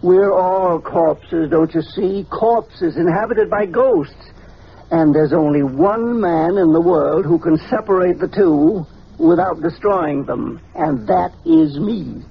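An actor playing an eccentric, irascible older scientist in an old-time radio drama, pompous and pedantic. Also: male voice, on the high side, takes it slowly, gravelly, husky, heavily acted. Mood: grandiose, scornful. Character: eccentric, irascible older male scientist, self-important inventor. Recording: muffled, noisy vintage radio recording.

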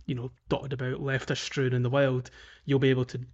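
A man's voice: medium pitch, completely flat delivery, fairly quick, darkish tone, muffled recording. A man co-hosting a podcast in casual game chat, often hesitating and repeating words as he thinks aloud. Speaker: podcast co-host.

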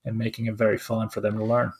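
A man's voice: on the low side, completely flat delivery, fast. A man in his forties from Finland, co-hosting a casual podcast chat and speaking hesitantly with many fillers and restarts. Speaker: co-host.

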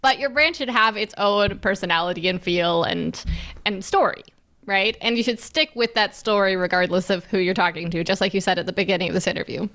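A woman hosting a podcast in casual conversation, chatty and quick to laugh, acting out quotes in a lively voice. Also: mid-range voice. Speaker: podcast host.

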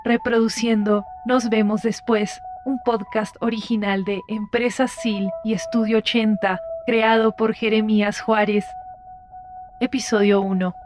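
A woman's voice: on the high side, level tone, unhurried, darkish tone, soft background music, lightly acted. A woman voicing an impersonal computer system that reads out records in a formal, robotic manner for a sci-fi audio drama. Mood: impersonal, official. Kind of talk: scripted announcement.

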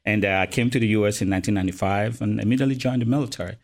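A man's voice: lowish voice, very fast. A middle-aged man from Cameroon, a retirement services officer, talking earnestly in a casual interview with frequent ums. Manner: earnest, hesitant with many ums.

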